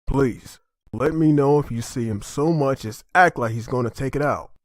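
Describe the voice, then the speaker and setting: A man's voice: medium pitch, moderate pace, no room sound, natural voice. A man playing an earnest, protective father who leads survivors in an amateur comic dub. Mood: firm, announcing.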